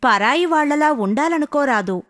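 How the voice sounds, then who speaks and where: A woman's voice: sits high, lively intonation, moderate pace, fairly bright tone, soft background music. A woman narrating a scripted children's story as a storyteller.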